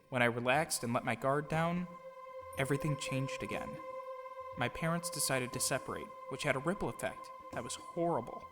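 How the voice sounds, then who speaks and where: A man's voice: mid-range voice, fairly bright tone. Man narrating his story over music, reverberant. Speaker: narrator, audio drama producer and actor. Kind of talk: scripted autobiographical narration with acted dialogue. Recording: long reverb, clear music behind. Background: music bed.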